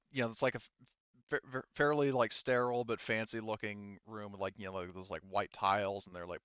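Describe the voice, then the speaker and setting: A man narrating a tabletop roleplaying game as game master, in a halting, conversational and casual way. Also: fairly low pitch, a bit bright, clear, resonant tone, dry recording, muffled recording.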